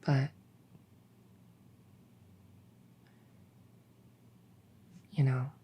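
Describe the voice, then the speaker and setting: A woman playing a weary, dutiful young princess in an intimate audio roleplay, speaking in a halting, conversational way with a soft, husky voice. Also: very deep voice, flat delivery, lo-fi sound, full character voice. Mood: hesitant, wistful. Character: young human princess, weary, dutiful, wistfully longing for freedom.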